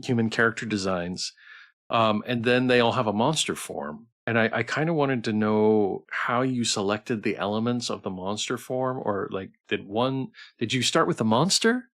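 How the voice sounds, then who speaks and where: A man streamer hosting a casual developer Q&A, phrasing his questions in a halting, rambling way. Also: lowish voice, fairly bright tone. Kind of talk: casual, unscripted interview chat.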